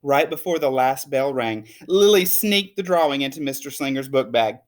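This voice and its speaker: A woman reading a children's storybook aloud in an expressive, emphatic way. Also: rumbling low, moderate pace. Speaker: teacher reading a picture book aloud. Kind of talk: animated storybook read-aloud.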